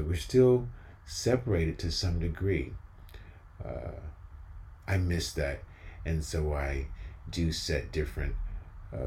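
A man's voice: extremely low pitch, darkish tone, slight background noise. A man speaking as an inspirational spoken-word storyteller, earnest, spiritual and encouraging in his delivery. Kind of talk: reflective inspirational talk and spoken-word poetry.

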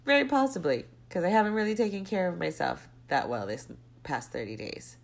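A woman's voice: lowish voice, lively intonation, a little fast, gravelly. A young adult woman hosting her own podcast, stuffy and congested from a cold, rambling off on tangents and circling back. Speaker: podcast host. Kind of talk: casual solo podcast monologue.

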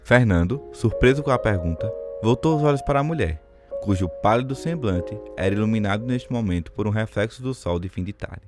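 A man's voice: on the low side, lively intonation, fairly quick, music in the background. A Brazilian man narrating a radio soap opera in a scripted, dramatic storytelling style.

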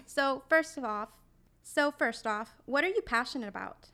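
A woman hosts a podcast in a smooth, clear voice pitched a little high. She reads announcements in an upbeat way and then turns to her guest. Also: moderate pace, bright, forceful tone. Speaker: podcast host, program specialist. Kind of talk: scripted podcast intro and interview.

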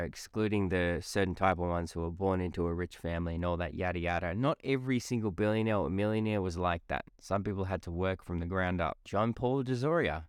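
A man's voice: deep voice, clear, resonant tone, no room sound, studio quality. A man in his twenties hosting a solo motivational podcast. He talks in a casual, chatty, blunt way and swears freely. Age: 20s. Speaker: podcast host.

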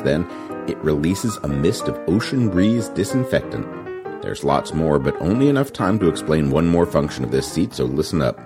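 Casting: male actor as big folksy plumbing salesman, extremely low voice, deadpan patter, comic radio spot with guitar music behind. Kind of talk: spoof radio advertisement.

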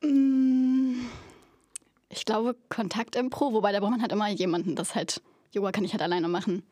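A woman's voice: medium pitch, moderate pace, dry recording. Young woman from Berlin in her late twenties, easygoing, dry close sound. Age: 20s. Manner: relaxed, easygoing, hesitant fillers. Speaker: guest, actress. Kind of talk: casual interview answers.

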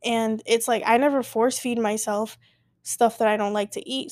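A woman's voice: a little high, darkish tone, no echo. A woman in her twenties hosting a casual solo podcast, rambling with many fillers and self-interruptions. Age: 20s. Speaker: podcast host. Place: at home, late at night.

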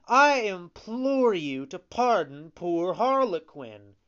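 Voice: mid-range voice, sing-song, takes it slowly, fairly bright tone, clean tone, muffled recording, pitched above the performer's own voice. A narrator voicing a brave little wooden boy puppet who speaks imploringly and with great feeling. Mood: pleading.

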